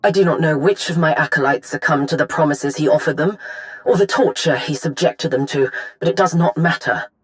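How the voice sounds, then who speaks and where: A woman playing a solemn, secretive Sith lord in a video game monologue, speaking formally and gravely. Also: sits low, brisk, close to an everyday voice. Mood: grave, resolved.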